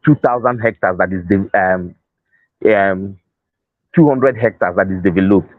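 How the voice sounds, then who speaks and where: Nigerian man, lowish voice, close dry sound. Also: moderate pace, dry recording, phone-quality sound. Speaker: interview guest, festival organiser. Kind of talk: unscripted interview talk.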